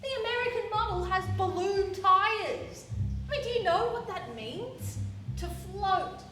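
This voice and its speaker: A woman's voice: very high voice, lively intonation, a little slow. A woman playing a driven, stubborn young woman who runs a garage, emphatic and self-assured, in a stage radio play. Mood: determined, proud. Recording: echoey theatre, distant mic, music underneath.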